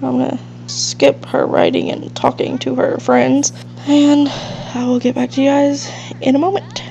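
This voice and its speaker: A woman's voice: sits high, big pitch swings, a little slow, gravelly, mellow. A woman with a nasal, stuffed-up allergy voice giving casual, rambling gameplay commentary as the host of a let's play. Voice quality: nasal, congested, sniffly. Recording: dull lo-fi mic with faint hiss and hum.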